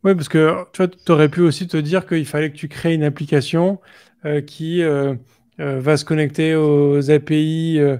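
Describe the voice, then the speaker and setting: A man's voice: fairly high pitch, level tone, moderate pace, a little mellow, clean tone. A man hosting a podcast interview, his voice sitting a little above the usual male range. He asks his questions casually and stumbles through plenty of fillers. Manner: hesitant, many fillers. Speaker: podcast host.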